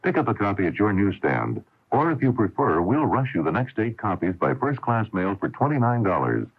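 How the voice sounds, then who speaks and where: Low, husky American ad announcer on tinny radio. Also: male voice, big pitch swings, a little fast. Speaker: commercial announcer. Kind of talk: scripted radio advertisement. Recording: narrow, tinny vintage AM radio broadcast.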